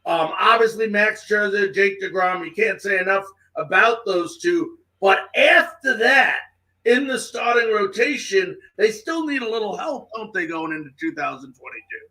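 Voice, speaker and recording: A man's voice: high-pitched, lively intonation, moderate pace, pushed and bright, low-quality recording. A man hosting a sports show, putting questions to his guest in an interview style.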